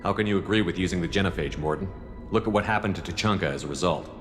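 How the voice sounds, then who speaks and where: A man's voice: deep voice, rapid-fire, lightly acted. A man playing a firm, level-headed human soldier in a video game, direct and probing. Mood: challenging. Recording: reverberant hall, music underneath.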